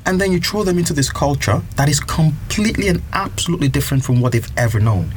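A man's voice: on the high side, lively intonation, fast, slight background noise. A man from Nigeria telling personal stories earnestly in a casual chat, building his points with repeated phrases. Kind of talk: reflective storytelling in casual chat.

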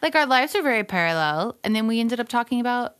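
A woman's voice: a little high, lively intonation, fast, fairly bright tone, clean tone, no room sound, studio quality. A woman from the United States, co-hosting a podcast in casual, chatty talk full of "like". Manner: chatty, frequent "like" fillers. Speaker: podcast co-host. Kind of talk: casual chat.

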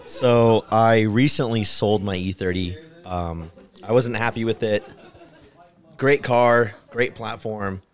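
A man with a somewhat low voice talks as a guest on a casual podcast. He explains things in a plain, easygoing way and laughs at himself. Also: fairly even intonation, slow pace. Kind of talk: casual chat.

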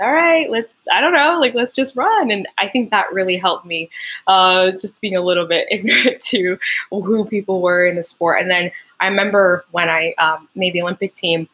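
A woman's voice: medium pitch, normal speed, fairly bright tone, slightly rough. A young woman from Canada, a native French speaker, talks in a chatty, upbeat way as a guest in an interview, using many fillers. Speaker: Olympic track athlete, guest. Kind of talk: casual interview storytelling.

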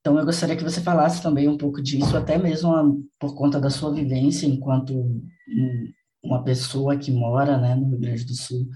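A man's voice: medium pitch, level tone, moderate pace, warm tone, low-quality recording. A man from Bahia, hosting a podcast and speaking earnestly and reflectively, repeating phrases for emphasis. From Bahia, Brazil. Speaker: podcast host. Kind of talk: casual podcast conversation.

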